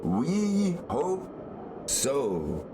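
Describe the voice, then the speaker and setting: A man's voice: fairly high pitch, wildly swooping pitch, very slow, noisy background, pitched above the performer's own voice, exaggerated voice. A man voicing a courteous, lofty aeronaut who calls down formally and politely in an audiobook. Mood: calling down. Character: courteous, lofty male balloon aeronauts calling down.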